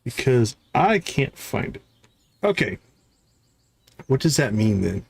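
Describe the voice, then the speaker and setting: A man's voice: mid-range voice, big pitch swings, slow, creaky, slight background noise. A man doing casual live gameplay commentary, thinking aloud to himself and his viewers as a streamer. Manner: musing, talks to viewers and self. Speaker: video game livestreamer.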